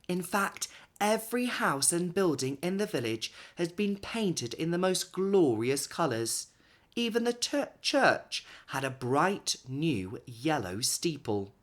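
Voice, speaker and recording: A man in his thirties reads a children's story aloud expressively, with dramatic pauses and emphasis. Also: medium pitch. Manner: animated, dramatic pauses and emphasis for young listeners.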